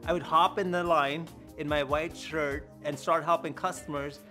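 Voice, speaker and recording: A man's voice: fairly high pitch, steady tone, moderate pace, pushed and bright, faint music behind. A man speaking as a family franchise owner, telling anecdotes in an interview.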